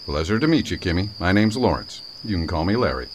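A man's voice: deep voice, sing-song, quick pace, slight background noise. A man acting as a wry, folksy, kindly small-town sheriff in a radio drama. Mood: kindly, reassuring.

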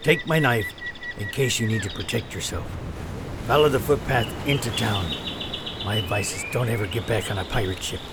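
An actor playing a big, gruffly kind veteran pirate sailor in an audio drama, plain-spoken. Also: male voice, lowish voice, normal speed, background noise, natural voice. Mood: protective.

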